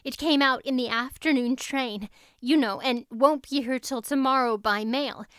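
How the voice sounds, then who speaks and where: A woman voicing an excitable, gushing teenage girl, a best friend in a storybook reading. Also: sits high, moderate pace, smooth, no echo, clean studio sound, pitched above the performer's own voice. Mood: excited, gushing. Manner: gushing, exclamatory.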